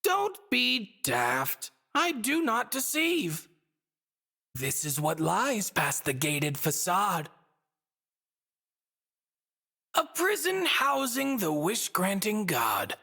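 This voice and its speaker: A woman's voice: mid-range voice, wildly swooping pitch, slow, vocal fry, sharp tone, exaggerated voice. A woman playing a smug, theatrical stuffed-bunny god in a video game, speaking in mocking, riddling, ornate phrases. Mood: mocking, solemn.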